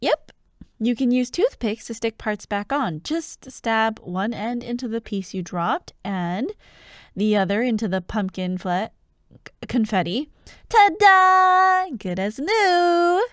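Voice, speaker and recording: A woman playing an excitable, teasing young childhood friend who loves Halloween, in an ASMR roleplay addressed to the listener. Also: fairly high pitch, takes it slowly, no echo, clean studio sound. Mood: bubbly. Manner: playful, teasing, animated, with frequent giggles and mock drama.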